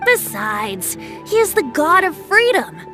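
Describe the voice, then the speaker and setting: A woman's voice: very high voice, huge pitch swings, unhurried, bright, forceful tone, faint music behind, full character voice. An actress playing a playful, carefree young male bard in a video game, with a theatrical, lilting, breezy delivery. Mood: lighthearted, reasoning.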